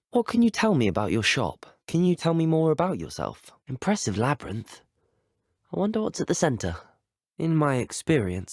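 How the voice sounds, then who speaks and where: Well-spoken, animated British student wizard. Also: male voice, lowish voice, breathy edge, no room sound, clean studio sound. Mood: courteous, inquisitive. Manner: courteous, well-spoken, dryly wry. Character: polite, plucky teenage male student wizard hero. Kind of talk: video game voice acting, short dialogue lines.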